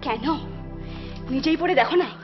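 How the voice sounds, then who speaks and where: A woman's voice: high-pitched, normal speed, natural voice. An actress playing an indignant, resentful married woman in a period drama, with sulky, defiant retorts. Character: indignant, ashamed married woman resenting her elder sister. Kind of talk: Bengali period drama acting. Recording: dull lo-fi audio with music behind.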